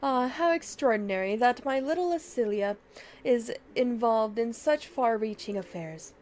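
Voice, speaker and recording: A woman's voice: a little high, lively intonation, moderate pace, darkish tone, slight background noise. A woman livestreamer reading fantasy game dialogue aloud in a dramatic way, with game music behind her.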